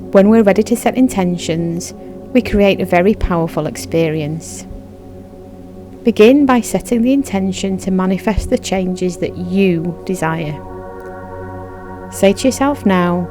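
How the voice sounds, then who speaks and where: A woman narrating a guided meditation in a soothing, measured, instructive manner. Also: mid-range voice, a little mellow. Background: background music.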